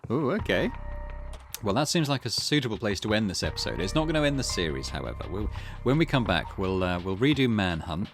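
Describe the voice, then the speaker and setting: A man with a fairly low voice hosts a let's play. He comments casually, thinking aloud with some hesitation. Music plays clearly behind him. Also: sing-song, normal speed, long pauses, fairly bright tone. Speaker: gaming channel host. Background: game music.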